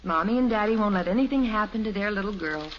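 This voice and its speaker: An actress playing a caring, worried mother in an old-time radio family drama, gentle but insistent. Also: medium pitch, fast, natural voice. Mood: concerned. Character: caring, anxious mother and housewife. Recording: muffled old radio recording, faint hiss.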